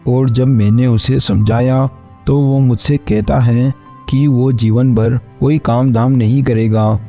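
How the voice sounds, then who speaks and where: A weary old farmer father from a cartoon, with a very dark, muffled-soft tone at a medium pitch. He pleads earnestly and plaintively. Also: fairly even intonation, takes it slowly, gravelly, faint music behind. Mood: troubled, pleading. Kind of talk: Hindi cartoon voice acting.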